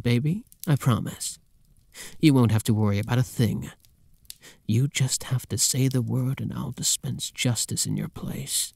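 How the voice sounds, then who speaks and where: A man acting a creaky-voiced possessive, doting boyfriend. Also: mid-range voice, dry recording. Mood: protective, menacing.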